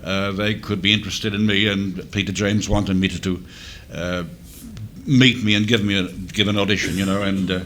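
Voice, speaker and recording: A man in his sixties from Australia, a former radio DJ telling a halting, filler-laden story at a panel talk. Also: low-pitched, fairly even intonation, fairly bright tone, a little hiss or hum. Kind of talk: reminiscing panel chat.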